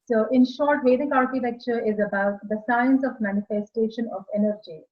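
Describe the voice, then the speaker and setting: A woman in her forties from India with an Indian accent, explaining her work in a measured, slightly halting way as an interview guest. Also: on the high side. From India (Maharashtra). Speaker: guest architect. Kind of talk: video call interview. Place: small room indoors. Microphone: earphone mic on video call. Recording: slightly dull video call audio.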